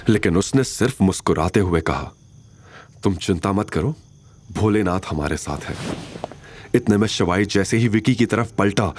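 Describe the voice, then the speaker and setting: A man narrating a scripted romantic action story in a dramatic storytelling style. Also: lowish voice.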